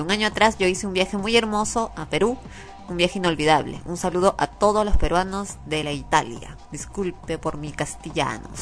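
A woman's voice: on the low side, fairly bright tone. A woman co-hosting a radio talk show, laughing easily and reading listener emails in a matter-of-fact way.

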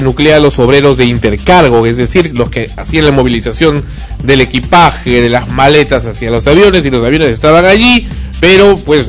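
A chatty man hosts a radio talk show, joking and rambling with plenty of fillers. His voice sits a little high for a man, and soft music plays faintly underneath. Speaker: radio show host and director. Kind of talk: casual radio chat.

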